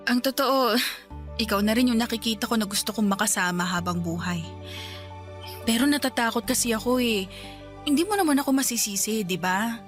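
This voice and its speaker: A woman's voice: a little high, moderate pace, pauses often, a bit bright, faint music behind, natural voice. An actress with a Filipino accent playing a guarded, anxious young woman in love in a Tagalog radio romance drama. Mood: torn, tender.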